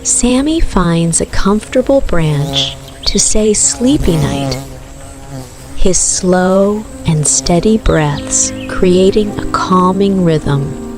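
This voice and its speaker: A woman narrating a scripted bedtime story for toddlers in a soothing, gentle, unhurried manner. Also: lowish voice, animated, poor audio. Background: music with rainforest night sounds of rain, crickets and frogs.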